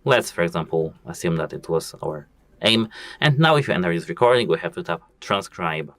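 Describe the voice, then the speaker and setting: A man's voice: deep voice, lively intonation, brisk, a bit bright, no echo. A man hosting a casual phone tutorial, explaining each step and repeating "as you can see". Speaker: tech tutorial host. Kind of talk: casual phone how-to walkthrough.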